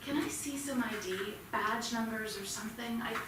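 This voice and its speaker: A woman's voice: on the high side, moderate pace, noisy background, close to an everyday voice. Woman playing flustered protective mom in a play reading, defensive, really echoey theatre, distant sound. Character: protective, indignant mother of a teenage boy. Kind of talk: staged reading of a stage play. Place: theatre stage, indoors. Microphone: distant room microphone.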